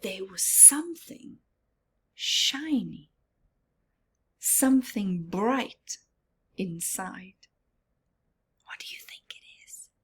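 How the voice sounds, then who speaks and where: A woman reading a picture book aloud to young children, dramatic and expressive, with simple asides and questions to the listener. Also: mid-range voice. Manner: slow, simple child-directed phrasing. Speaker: storyteller for children.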